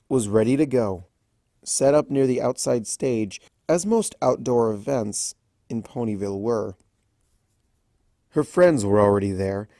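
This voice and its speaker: A man reading a fan story aloud as an expressive storybook narrator. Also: mid-range voice, no room sound, clean studio sound. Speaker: fan fiction reader.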